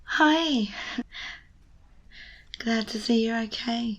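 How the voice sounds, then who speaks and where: A woman's voice: on the high side, very slow, a bit bright, lo-fi sound, exaggerated voice. A woman playing a wry, evasive young rescuer in an intimate ASMR audio roleplay, with a sardonic, hesitant manner. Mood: awkward, reassuring. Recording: noisy ambience behind close voice.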